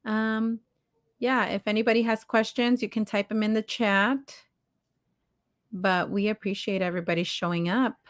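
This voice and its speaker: A woman's voice: mid-range voice, fairly even intonation, normal speed. A woman co-hosting a live stream in casual conversation, chatty and informal, with exclamations and slangy asides. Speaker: podcast co-host.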